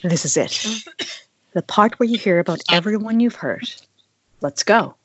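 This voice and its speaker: A woman acting a hurt, exasperated wife who confronts her husband, speaking slowly with lively swings of pitch. It is a table read over a dull, slightly noisy call. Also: breathy edge, no echo. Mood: confrontational. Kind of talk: amateur table read of a screenplay.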